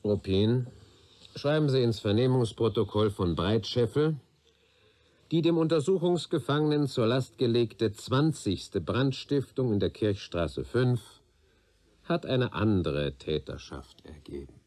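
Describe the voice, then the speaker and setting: An actor playing a persistent police interrogator in a radio crime drama, probing, methodical and authoritative. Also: male voice, medium pitch, animated, measured pace, a little mellow, natural voice. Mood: quietly insistent.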